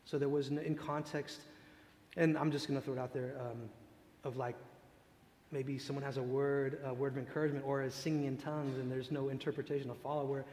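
A man's voice: medium pitch, flat delivery, a little mellow. Man teaching in an echoey hall. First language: Tagalog. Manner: reflective, halting, searching for words. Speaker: speaker leading a worship teaching session. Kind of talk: informal religious teaching with audience questions. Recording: echoey hall, long reverb, background noise.

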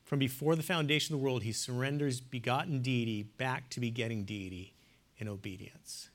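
Man Lecturer, mid-pitched voice, earnest, emphatic, dips hushed then rises loud. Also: a little fast. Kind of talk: classroom lecture on theology and poetry.

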